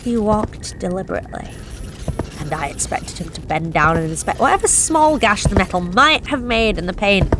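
Actress as a nervous courier, mid-pitch, confessional and sweary; audio drama with traffic sounds behind. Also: female voice, expressive, moderate pace, close to an everyday voice. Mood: uneasy. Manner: confessional, tense, blunt swearing. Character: nervous young woman delivery driver, swearing. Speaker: narrating actor. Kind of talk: first-person audio drama monologue.

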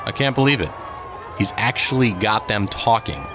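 Man acting low-voiced, earnest cop on a noisy street. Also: expressive, normal speed, crowd noise, natural voice. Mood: curious, hopeful. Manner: earnest, questioning. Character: cautious, hopeful male police officer, earnest partner. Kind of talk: audio drama dialogue. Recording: outdoor city noise behind. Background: urban street ambience.

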